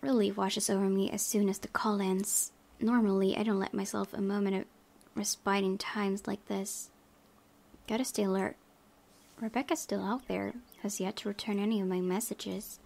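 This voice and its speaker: Creaky-voiced young woman reading aloud. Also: mid-range voice, flat delivery, normal speed, a little mellow. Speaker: let's play host. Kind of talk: reading visual novel narration aloud.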